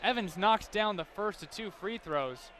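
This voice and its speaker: Male basketball play-by-play announcer, American, high voice, detailed running call over clearly noisy arena sound. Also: lively intonation, a little slow. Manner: detailed, running description of the action. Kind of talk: live basketball play-by-play commentary. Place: courtside in a large basketball arena. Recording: loud crowd and arena noise behind.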